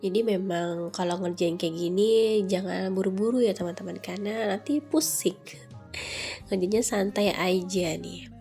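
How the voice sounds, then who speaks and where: Casting: young woman, nasal and bunged-up with flu, mid-pitched, chatty home vlog voice-over. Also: a little slow, dark tone, soft background music. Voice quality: congested, nasal from a cold. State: sick with flu and sore throat. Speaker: home vlogger.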